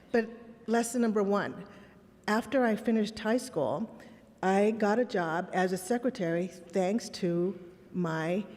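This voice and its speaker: A woman's voice: medium pitch, darkish tone. A middle-aged woman whose first language was Spanish, giving a candid, reflective keynote with personal stories and rhetorical questions. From Texas border town, raised in Los Angeles. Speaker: conference speaker. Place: conference hall. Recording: long reverb in a large hall.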